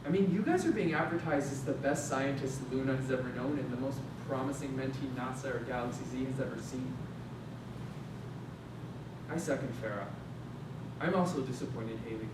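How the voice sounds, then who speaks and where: A man's voice: brisk, lightly acted. A young man acting a brash, resentful space maintenance worker in a staged drama, slangy, sarcastic and confrontational. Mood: wounded, disappointed. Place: stage or hall at a staged reading. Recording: very echoey room, distant mic, noisy rumble.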